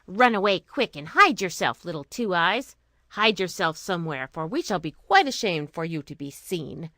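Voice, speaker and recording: A woman's voice: lowish voice, sing-song, moderate pace, sharp tone, no echo, studio quality, full character voice. A woman voicing a jealous, scornful older sister in a storybook, mocking and bossy. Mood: bossy, urgent. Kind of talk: storybook character voice.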